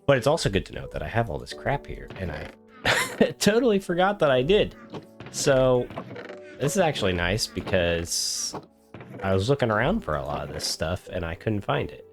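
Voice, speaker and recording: A man's voice: on the low side, huge pitch swings, normal speed, faint music behind. A man hosting his own gaming video, casually narrating while he builds, his voice congested from a cold. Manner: easygoing, rambling, talks while building. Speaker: gaming channel host. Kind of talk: casual gameplay commentary.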